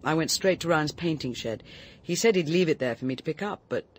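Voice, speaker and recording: An actress in a radio drama playing a self-possessed woman, speaking with a brisk, precise British delivery. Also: low voice, expressive. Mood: brisk, composed. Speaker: actor.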